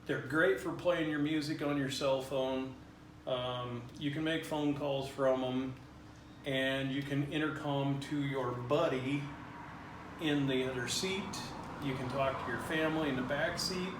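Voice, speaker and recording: A man's voice: medium pitch, fairly even intonation, a little slow. A man giving a casual, unscripted how-to in plain, informal language, as a hobbyist presenter. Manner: plain-spoken, informal, colloquial. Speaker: hobbyist presenter demonstrating a DIY headset build. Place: roomy garage. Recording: roomy echo, distant mic, faint noise.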